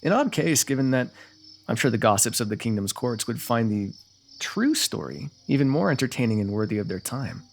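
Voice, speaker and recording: A man's voice: medium pitch, sing-song, brisk, gravelly. A man playing a teasing, lovestruck royal ruler with courtly, formal phrasing in an ASMR fantasy roleplay. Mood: wry, wistful.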